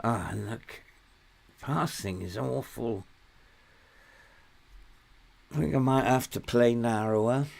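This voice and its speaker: A slow, unhurried older man from England talks through a football management game, half to himself. His voice is hoarse and worn, close to giving out, and his pitch sits in the ordinary middle range for a man. He mutters his reactions as the match plays out. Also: a little mellow. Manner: muttering, talking to himself while playing. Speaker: gaming YouTuber. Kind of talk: casual gameplay commentary.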